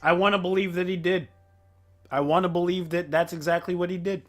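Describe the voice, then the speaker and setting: A man hosting a casual reaction video, giving energetic, exclamatory fan commentary. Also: sits high, a little fast, soft background music.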